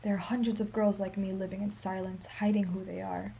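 An actress playing a sorrowful, dignified old Armenian woman in a radio play, telling her story in a solemn, measured, emphatic way. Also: medium pitch, little pitch movement, vocal fry, warm tone, slight background noise, close to an everyday voice. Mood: pleading, resolute.

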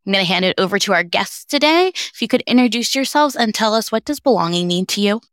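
A woman co-hosting a podcast, handing over to the guests in a brisk, friendly way. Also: mid-range voice, animated, fairly bright tone, no echo, clean studio sound. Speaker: diversity coordinator, co-host.

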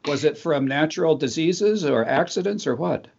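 A man's voice: a little high, lively intonation, normal speed, creaky, a little mellow, slightly rough, muffled recording. A man hosting an interview, asking questions conversationally with friendly, brief affirmations. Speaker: interview host.

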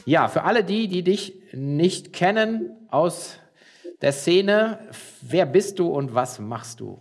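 A man hosting a business podcast, his voice sitting a touch high. He talks in a loose, easygoing way, full of fillers and restarts. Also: slow pace, vocal fry, faint music behind. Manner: relaxed, many fillers and restarts. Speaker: podcast host. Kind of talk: casual interview.

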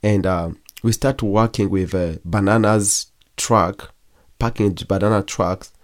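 A young man from Congo, whose first language is Kigogwe, recounts his life as an interview guest. His voice sits low. He talks haltingly, pausing often and restarting his sentences. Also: a little mellow. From Democratic Republic of Congo. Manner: halting, with frequent pauses and restarts. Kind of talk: personal testimony in an interview.

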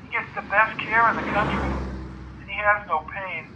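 Guy doing tired worried lady in a story, weak shaky high voice, noisy recording. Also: normal speed, natural voice. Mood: pleading. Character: weak, tired, pleading woman named Peg.

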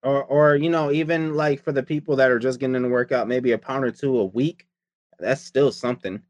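A man's voice: mid-range voice, fairly even intonation, fairly quick, dry recording, dull audio. A man chatting casually about his diet and workouts, rambling and profane, often repeating himself. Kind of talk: casual chat.

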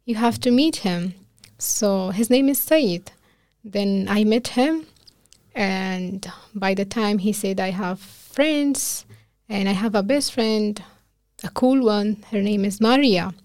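A woman's voice: mid-range voice, slow pace, darkish tone, no echo. Earnest Afghan-accented woman, dry sound. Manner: earnest, emotional storytelling with quoted speech and laughter. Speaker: guest, physician. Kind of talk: casual interview conversation.

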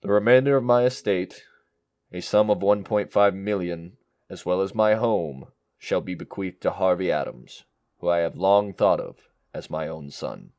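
An actor playing an unflappable male attorney in an audio drama, speaking in a measured, officious way. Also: low-pitched, a little mellow, no echo. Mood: formal, declarative. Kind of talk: audio drama acting, reading a will.

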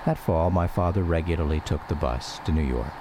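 A man's voice: very deep voice, fairly quick, noisy background. A man performing as a reflective grown son, narrating a scripted radio drama about his father. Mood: measured reflective.

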